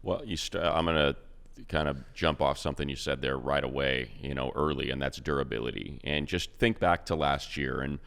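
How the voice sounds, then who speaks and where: Male sportscaster, very low voice, smooth and resonant, relaxed conversational football analysis. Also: moderate pace. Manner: conversational, rambling analysis with fillers. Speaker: radio play-by-play announcer. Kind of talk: casual sports talk.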